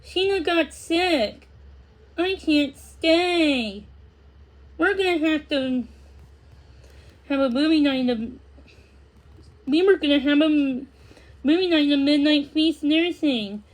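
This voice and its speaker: A sulky young girl from a storybook, read out by a woman, pitched high and full of disappointment. She drags out her complaints slowly and whines at her parents. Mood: miserable.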